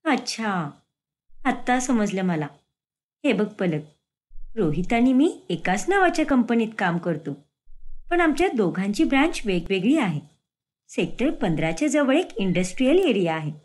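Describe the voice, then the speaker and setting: A woman voicing an eager, sweet young mistress in a cartoon story, speaking brightly and expressively. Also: on the high side, big pitch swings, a little slow. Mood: explaining.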